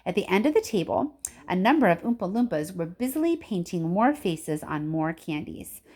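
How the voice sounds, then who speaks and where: A woman's voice: fairly low pitch, big pitch swings, moderate pace, a little mellow. A woman narrating a children's book expressively, like a teacher reading aloud. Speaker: story reader, teacher.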